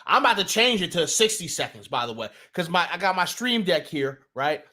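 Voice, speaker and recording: A man's voice: sits high, very animated, brisk, sharp tone. A young man from New Jersey with a Jersey accent, hosting a casual live sports talk stream. He talks loosely and profanely, restarting sentences and greeting his chat.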